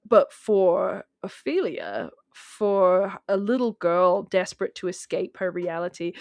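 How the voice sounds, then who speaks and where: A woman talking casually as a guest in a film discussion, telling it in an animated way and acting out imagined remarks. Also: on the low side, dry recording, studio quality.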